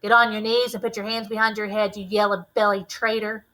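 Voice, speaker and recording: A woman voicing a steady, protective military colonel in a read-aloud novel, giving firm commands. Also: mid-range voice, brisk, close to an everyday voice, rough recording. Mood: commanding.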